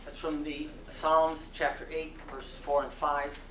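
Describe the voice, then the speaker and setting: A man giving a dramatic sermon reading to a live audience, with deliberate, oratorical phrasing. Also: a little high, little pitch movement, bright, forceful tone. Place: community center hall. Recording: roomy echo, distant mic, faint noise.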